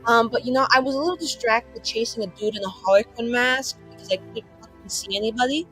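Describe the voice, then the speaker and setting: A woman's voice: a little high, fast, fairly bright tone, faint music behind, rough recording. A woman chatting casually in character as a tabletop roleplaying player, offhand and slangy.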